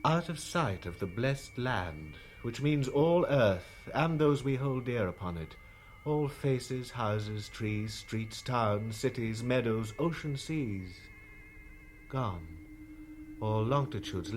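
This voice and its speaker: A man acting in a 1960s radio drama as an earnest, reflective spaceship crewman, with measured, poetic delivery. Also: medium pitch, expressive, faint music behind. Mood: wistful awed. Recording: noisy vintage radio drama tape.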